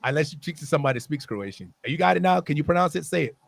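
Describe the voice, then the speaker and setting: A young man with a gravelly, somewhat high voice trades jokes as co-host. He teases the others and breaks into loud, animated asides. The talk is loose and playful. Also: rapid-fire, no room sound. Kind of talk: casual chat.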